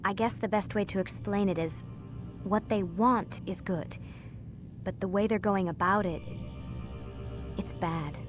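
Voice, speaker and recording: A woman playing a hushed, earnest lab assistant. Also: medium pitch, measured pace, long pauses, lightly acted. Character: earnest young female lab assistant, guarded. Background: game score music.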